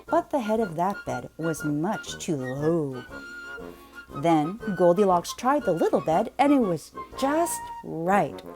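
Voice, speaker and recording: A woman reading a children's picture book aloud in a playful, expressive way, drawing out words for emphasis. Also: medium pitch, rough recording. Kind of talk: animated children's read-aloud narration.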